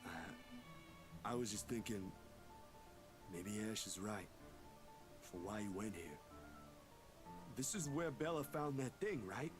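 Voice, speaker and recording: A timid young man in a horror visual novel. He speaks slowly and softly, halting and unsure of himself. Music plays clearly behind him. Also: lowish voice, expressive. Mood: hesitant. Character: timid, hesitant, soft-spoken young man.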